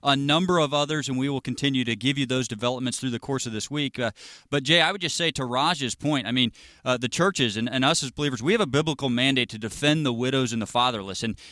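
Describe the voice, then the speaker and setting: A man's voice: mid-range voice, quick pace, pushed and bright, dry recording, studio quality. A man co-hosting a talk radio show, earnest with a preacherly emphasis. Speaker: radio co-host.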